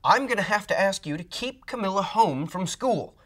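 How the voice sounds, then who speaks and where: A man's voice: sits high, animated, moderate pace, a bit bright, pitched above the performer's own voice. A man voicing an apologetic, formal school principal in a storybook reading. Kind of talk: storybook read-aloud voice.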